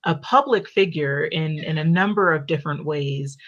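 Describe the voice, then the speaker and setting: A woman's voice: deep voice, fairly bright tone, lo-fi sound. A woman facilitating a community panel discussion, thanking a guest and asking a long, carefully worded question. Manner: measured, careful, searching for words.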